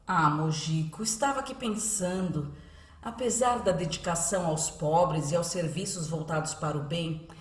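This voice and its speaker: A woman acts a caring, pious Brazilian mother in a radio drama. Her voice is a touch low, earnest and concerned. She sounds set back from the mic in an echoing room. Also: moderate pace, close to an everyday voice. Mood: worried. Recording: echoey room, distant from mic.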